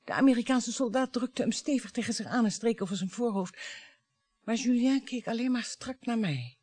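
An actress in a Dutch radio play playing a bitter, grieving mother who confronts her husband in a dramatic, halting, taunting way. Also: fairly high pitch, expressive, darkish tone, no echo. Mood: anguished, halting.

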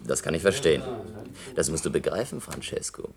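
An actor playing a cunning, sardonic small-time crook in a German radio play. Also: male voice, very deep voice, expressive, a little fast, creaky, crowd noise, heavily acted. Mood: mocking, sly. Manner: sly, sardonic, amused.

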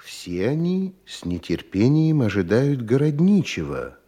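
A man narrating a classic studio radio play, setting the scene in a measured, descriptive storytelling way. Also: mid-range voice, big pitch swings, warm tone.

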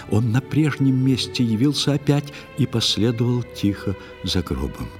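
A man narrating a literary fairy tale in verse, reciting it in a solemn, measured and expressive way. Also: deep voice. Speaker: storyteller narrator.

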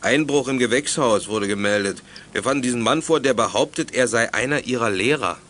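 A man playing a gruff, impatient policeman, brusque and official, in a youth radio drama. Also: medium pitch, a little fast, a bit bright, lightly acted. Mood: stern. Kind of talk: 1980s German youth radio drama acting.

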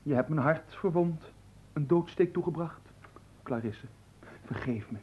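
An actor in a Dutch radio play voicing a cheeky, flirtatious manservant, theatrical and coaxing, often dropping into hushed pleading. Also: male voice, fairly high pitch, measured pace, slightly rough. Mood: wooing. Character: cheeky, flirtatious manservant, smooth-talking and playfully servile.

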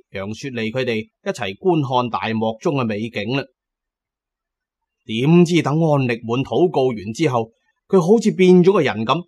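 Audio drama narrator: male, mid-range voice, dramatic storytelling delivery. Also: mid-range voice. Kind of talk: dramatic first-person storytelling.

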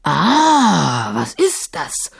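A woman's voice: low voice, very animated, takes it slowly, creaky, slightly rough, voice pushed down, heavily acted. A woman voicing a huge, cruel male djinn in a storybook reading, bellowing, growling and mocking. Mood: enraged, roaring.

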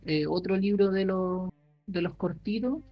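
A man co-hosting a podcast, casually recommending a book in a halting way, full of fillers and restarts. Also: high-pitched, muffled recording. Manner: halting, many fillers and restarts while explaining. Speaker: podcast co-host.

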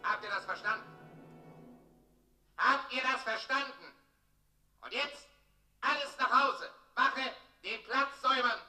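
Shrill, high-voiced male town crier. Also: slow pace, long pauses, full character voice. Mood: menacing, commanding. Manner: declamatory, bureaucratic, loudly proclaiming. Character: officious town crier of a dragon's regime. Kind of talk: German radio play acting. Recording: roomy distant radio play, music behind.

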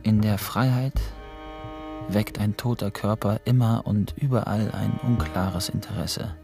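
An actor playing a reflective, detached prisoner who narrates a literary radio play. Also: male voice, lowish voice, steady tone, a little slow, creaky, over music.

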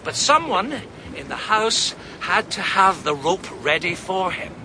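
A shrewd, cutting police detective. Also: male voice, sits high, very animated, noisy background. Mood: cold, accusing. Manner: measured, cutting, triumphant. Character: shrewd senior police detective unmasking a killer. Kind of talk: 1980s-90s radio drama acting.

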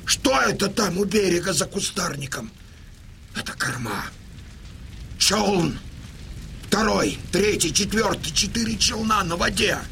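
A fierce, high-pitched old Cossack chieftain. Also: male voice, expressive, creaky, pushed and bright, a little hiss or hum, exaggerated voice. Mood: awed, watchful. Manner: declamatory, impassioned, drawn-out delivery. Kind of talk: Russian radio drama acting. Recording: music behind the voice.

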